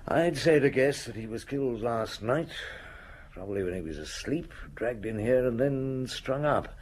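An actor playing a weary, shrewd senior police inspector, gruff and commanding, in a radio drama. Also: male voice, mid-range voice, lively intonation, normal speed, a little mellow. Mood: grim, regretful.

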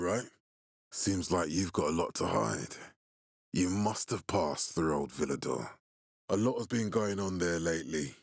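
A man voicing a grave, calculating militia commander in a video game, with a husky, authoritative and deliberate delivery. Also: deep voice, no room sound, clean studio sound, heavily acted. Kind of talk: video game character dialogue.

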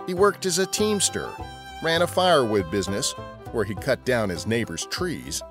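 A man's voice: medium pitch, very animated, moderate pace, music in the background. A man narrating a scripted history podcast as a storyteller.

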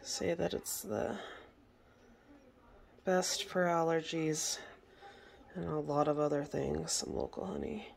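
Woman, young adult, casual vlog narration, unhurried, phone mic, faint background noise. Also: sits low, darkish tone. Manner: chatty, slow, frequent throat clearing. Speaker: vlogger. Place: home kitchen, indoors.

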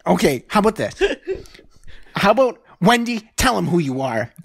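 A man's voice: sits high, sing-song, moderate pace, vocal fry, breathy edge, no echo. A young man improvising casual comedy dialogue on a podcast. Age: young adult. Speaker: podcast host and improv performer. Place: room at home, on a video call.

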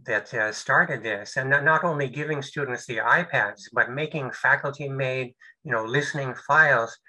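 Bright-voiced man with many fillers. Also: medium pitch, rough recording. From United States. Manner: halting, full of fillers and restarts. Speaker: presenter. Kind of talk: webinar presentation. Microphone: video call.

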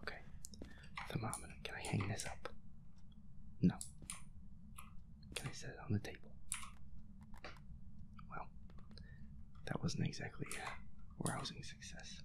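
A gamer with a breathy, whispery voice, nervously muttering to themself while playing through a horror game. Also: unhurried, long pauses, poor audio. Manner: nervous, muttering to self, reluctant. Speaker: solo gamer. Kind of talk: hushed let's-play commentary of a horror game.

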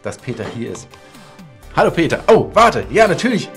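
A man's voice: on the high side, very animated, normal speed, soft background music. A middle-aged man hosting a casual, chatty interview from a home studio. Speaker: talk show host. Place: home studio room indoors.

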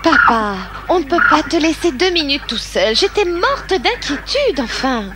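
A woman's voice: high-pitched, sing-song, unhurried, very noisy. A woman playing an explorer's caring, exasperated young daughter in a French cartoon dub.

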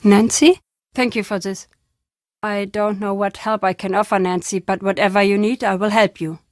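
An actress playing a very old, playful, wistful woman storyteller in a video game, speaking theatrically in unhurried phrases with dramatic pauses. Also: mid-range voice, fairly even intonation, no echo, studio quality, natural voice. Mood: moved, regretful. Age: older. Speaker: game character.

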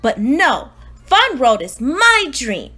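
A woman voicing a precocious, self-important newborn baby diarist, earnest and exclamatory, in an expressive storybook read-aloud. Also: high-pitched, slow pace, full character voice. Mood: dreamy, insistent.

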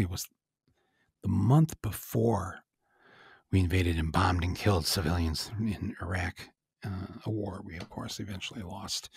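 A man in his sixties with a gravelly, very husky voice, musing through a loose podcast monologue. He rambles and laughs at himself, filling gaps with "uh" and "um". The sound is close and dry. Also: sits low, no room sound. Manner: rambling, self-deprecating, with chuckles and frequent "uh". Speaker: podcast host. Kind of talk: casual monologue.

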